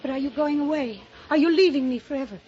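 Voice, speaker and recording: A woman's voice: high-pitched, quick pace, slight background noise, lightly acted. An actress playing a secretive, reckless murderess who narrates her own crime with dramatic intensity in 1950s radio mystery style. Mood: pleading. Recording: dull old radio recording, loud music behind.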